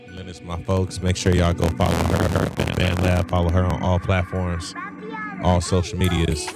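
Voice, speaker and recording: A man hosting a casual livestream, talking in a relaxed, rambling way with lots of fillers and repeated phrases. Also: low voice, moderate pace. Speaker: show host. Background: loud hip hop music.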